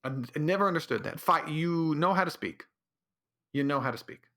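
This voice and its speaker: A man's voice: a little high, animated, fairly quick, bright, forceful tone. A man hosting a solo podcast, delivering a sarcastic, rambling comedic rant. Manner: sarcastic, rambling, drops into mocking voices. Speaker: podcast host.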